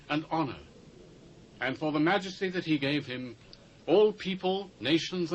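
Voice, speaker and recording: Stern solemn british prophet, voice rising n falling loads, bible drama, slight tape hiss. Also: male voice, medium pitch, lo-fi sound. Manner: solemn, emphatic, declamatory. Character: stern, fearless prophet pronouncing doom on a king. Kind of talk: dramatised Bible reading, theatrical declamation. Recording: faint hiss, old cassette transfer.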